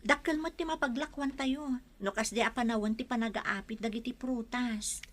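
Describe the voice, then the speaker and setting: High-voiced dutiful Ilocano farm daughter by an actress. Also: female voice, fairly even intonation, normal speed, close to an everyday voice. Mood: earnest, hopeful. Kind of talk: Filipino radio drama acting.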